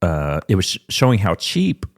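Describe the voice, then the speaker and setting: A man's voice: sits low, wildly swooping pitch, moderate pace. A man hosting a podcast in a casual interview, rambling and restarting his sentences as he talks. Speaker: podcast host.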